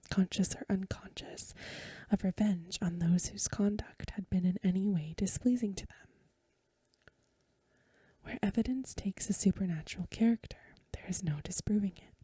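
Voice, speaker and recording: A woman reading aloud in a hushed, measured, breathy whisper, in the soft-spoken style of a bedtime ASMR recording. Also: mid-range voice, dry recording.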